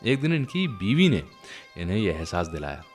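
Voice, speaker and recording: A man's voice: on the low side, sing-song, moderate pace. A man hosting a music show, telling anecdotes in a chatty, conversational way straight to his listeners. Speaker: radio show host. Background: music playing behind the voice.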